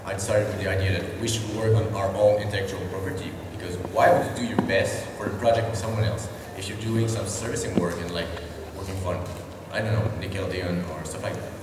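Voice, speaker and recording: A man's voice: low voice, fairly even intonation, fast, very noisy. A young man with a French accent, earnestly telling his story as an indie studio founder and sometimes searching for words. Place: large convention hall. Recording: echoey convention hall from far off.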